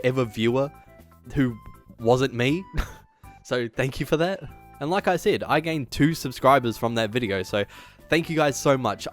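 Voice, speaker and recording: A man hosting a video essay, reading a script with emphatic delivery and dropping in casual asides. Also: medium pitch.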